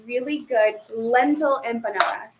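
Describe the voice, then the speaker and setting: A woman's voice: fairly high pitch, moderate pace, noisy background. Chatty woman teaching a cooking demo. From Puerto Rican family. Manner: chatty, filler-heavy, upbeat. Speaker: cooking instructor. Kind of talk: live-streamed cooking demo. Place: kitchen.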